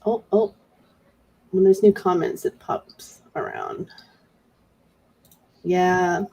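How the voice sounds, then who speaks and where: A woman's voice: on the low side, huge pitch swings, takes it slowly, gravelly, warm tone, husky, poor audio. A woman co-hosting a livestream, chatting casually with the others. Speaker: co-host of a reading livestream.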